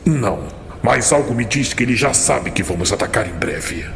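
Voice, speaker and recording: A man playing an older tiefling leader and uncle in an audio drama, grave and resolute, with a gravelly voice. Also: medium pitch, expressive, moderate pace. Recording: long hall reverb with clear noise.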